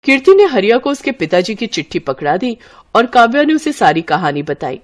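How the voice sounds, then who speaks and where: A woman's voice: on the high side, sing-song, brisk. A woman narrating a scripted story as a storyteller.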